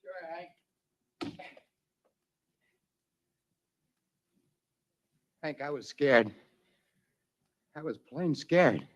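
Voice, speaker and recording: Worn out radio drama pilot, slow delivery, plain-spoken and shaken. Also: male voice, on the high side, lively intonation, exaggerated voice. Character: weary, shaken test pilot longing for his family. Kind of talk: 1950s radio drama acting.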